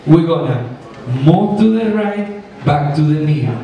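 A man's voice: fairly high pitch, lively intonation, unhurried, highs cut off. A man calling out dance steps to a group as an instructor, picked up by a camera at a distance. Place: large indoor ship atrium. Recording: very echoey hall, off-mic.

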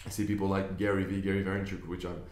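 A young Israeli man talks to camera from an office desk, his voice low and fairly level in tone. He explains his points earnestly and often restarts his sentences. The room gives his voice a clear echo. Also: little pitch movement, quick pace, darkish tone. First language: Hebrew. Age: young adult. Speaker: business investor. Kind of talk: casual talk to camera. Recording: echoey room.

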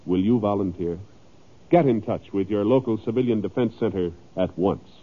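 A man's voice: fairly low pitch, normal speed. An American man announcing in formal, emphatic 1950s radio style from a script. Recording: phone-like, narrow old radio recording.

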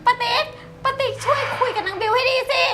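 A woman's voice: very high voice, fast. A female performer playing a scared young woman swindler, whiny and defensive, in a skit. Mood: panicky. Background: music.

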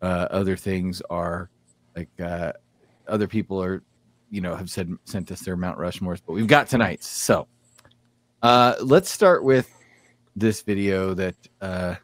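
A middle-aged American man hosting a casual podcast, swearing freely and often halting mid-sentence. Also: low voice, no room sound. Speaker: podcast host. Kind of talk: casual chat. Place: small room at home, on a video call.

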